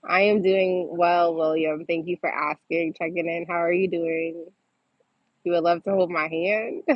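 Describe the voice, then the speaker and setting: A woman's voice: on the low side, level tone, a little slow, no room sound. Woman livestreamer, casual and chatty, dull audio. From Massachusetts. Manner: informal, chatty, often laughing as she talks. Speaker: livestream host. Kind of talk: casual livestream chat reading and answering viewer comments.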